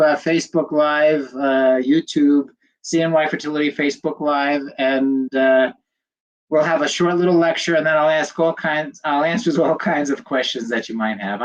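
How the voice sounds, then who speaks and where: A man's voice: on the high side, muffled recording. An older man, a doctor, slightly nasal from a cold, giving a chatty livestream lecture, rambling with fillers and greeting viewers by name.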